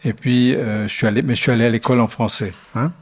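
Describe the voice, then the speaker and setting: Older man, native Italian speaker, speaking haltingly, a lecturer taking questions. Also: medium pitch, steady tone. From Switzerland. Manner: hesitant, many fillers and restarts. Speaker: architect giving a lecture. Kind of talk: lecture Q&A answer.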